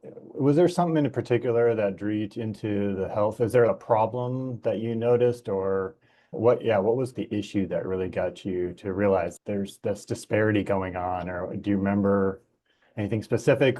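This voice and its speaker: A man's voice: on the low side, moderate pace, mellow. A man hosting an interview, asking casual, loosely phrased, exploratory questions. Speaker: interviewer, podcast host.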